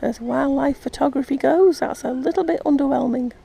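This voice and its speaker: An English woman chatting casually and gently as she narrates a nature vlog outdoors. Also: sits high, brisk, vocal fry. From England. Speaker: vlogger. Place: outdoors in an urban park. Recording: outdoor ambient noise, birdsong. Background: birds and park ambience.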